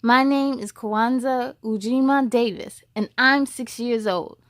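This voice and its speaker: A woman's voice: a little high, unhurried, no echo, clean studio sound, natural voice. A woman voicing a proud, bookish six-year-old in a storybook, making formal declarations.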